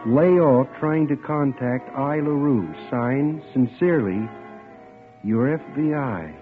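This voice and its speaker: A man's voice: mid-range voice, takes it slowly, natural voice. An actor playing an earnest, polite bank clerk who narrates his own story and stammers when he gets flustered. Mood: narrating. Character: earnest male bank clerk hunting his missing fiancée. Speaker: narrator and lead. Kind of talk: 1940s radio acting. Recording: tinny old radio broadcast with music.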